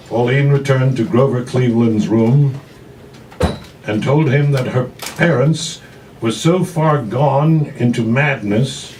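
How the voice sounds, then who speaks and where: A man's voice: medium pitch, darkish tone, a little hiss or hum. Man narrating live from a distant mic. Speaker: actor reading aloud. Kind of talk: scripted narration, live literary reading. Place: live reading in a cafe.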